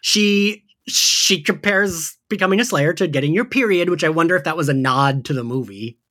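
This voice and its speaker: A man's voice: a little high, normal speed, fairly bright tone. A man hosting a podcast in a casual, gossipy, fannish chat style, often saying "like". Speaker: podcast host. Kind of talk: casual chat recapping an audio drama.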